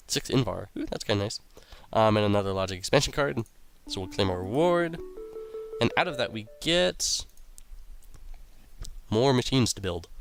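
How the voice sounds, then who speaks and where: A young man hosting a game playthrough, talking casually with a raspy, sick-sounding voice and rambling with lots of ums and uhs. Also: fairly low pitch, a little hiss or hum. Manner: rambling, many ums and uhs, reads quest text aloud. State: raspy voice from illness. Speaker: let's play host.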